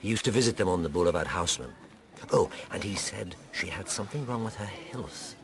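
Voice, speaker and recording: An actor playing a jovial, teasing doctor and old friend of the hero in a radio drama, talking in clipped, clubbable phrases. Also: male voice, sits low, animated, fairly quick. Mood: thoughtful.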